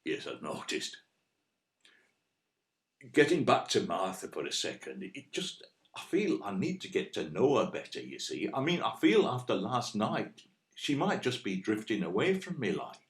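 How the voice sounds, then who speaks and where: An earnest, plain-spoken West Yorkshire boyfriend who is out of his depth, in an audio drama. His voice sits fairly low and he talks in a hesitant, colloquial ramble. The sound is noticeably roomy. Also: very animated, moderate pace. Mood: earnest, bemused. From West Yorkshire, England. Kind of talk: audio play acting.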